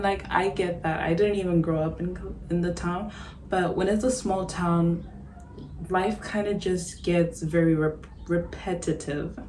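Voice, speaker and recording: A woman's voice: fairly low pitch, dark tone, roomy sound, a little hiss or hum. A young woman from Zimbabwe, a vlogger talking casually to camera, chatty and a little self-conscious, often laughing. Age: young adult. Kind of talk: casual vlog monologue. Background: background music.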